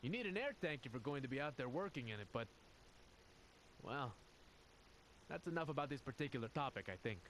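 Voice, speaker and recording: A man acting an earnest, troubled squad officer who confides deliberately in a video game audio log. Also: medium pitch, animated, a bit bright, a little hiss or hum, natural voice. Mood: confiding.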